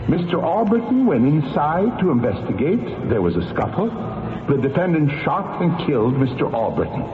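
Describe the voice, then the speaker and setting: An actor playing a probing, formal courtroom prosecutor in an old radio drama. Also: male voice, a little high, sing-song, moderate pace. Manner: formal, declarative. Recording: tinny echoing old radio, faint noise.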